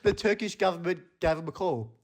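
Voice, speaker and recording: A man's voice: sits high, lively intonation, brisk. A young man co-hosting a podcast, chatting casually, laughing often and swearing. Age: young adult. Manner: laughing, playful, tells stories and swears. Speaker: podcast co-host. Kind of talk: casual chat between friends.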